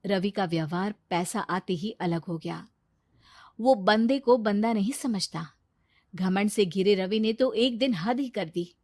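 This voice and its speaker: A woman's voice: mid-range voice, a little slow, a little mellow, no echo, clean studio sound. A woman delivering scripted narration for a moral cartoon story.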